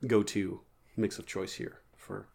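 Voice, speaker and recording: A man's voice: on the low side, flat delivery, a little slow, vocal fry, darkish tone. A man co-hosting a podcast, telling jokey, rambling stories in casual banter. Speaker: podcast co-host.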